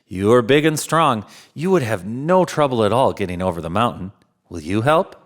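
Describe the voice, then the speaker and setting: Man playing jolly, animated old Santa Claus. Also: normal speed, close to an everyday voice. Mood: coaxing. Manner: booming ho-ho-ho chuckles, kindly and animated. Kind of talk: children's storybook read-aloud.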